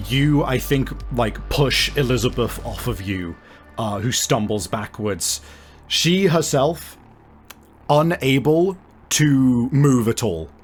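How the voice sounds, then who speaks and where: A man runs a tabletop game as game master, with a mid-pitched voice and faint music behind him. He checks rules casually and improvises the scene, feeling out his sentences as he goes.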